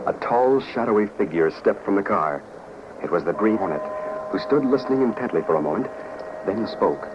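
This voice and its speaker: A man's voice: fairly low pitch, animated, fairly quick. A man with an American accent narrating a 1940s radio drama in a declamatory, theatrical way. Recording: noisy, muffled 1940s radio recording.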